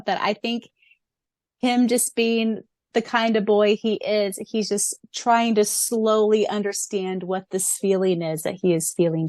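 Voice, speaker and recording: A woman's voice: mid-range voice, a little mellow, no echo, clean studio sound. A woman co-hosting a podcast, gushing and reading fan answers aloud between her own theories. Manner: bubbly, gushing, theorizing eagerly. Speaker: podcast co-host.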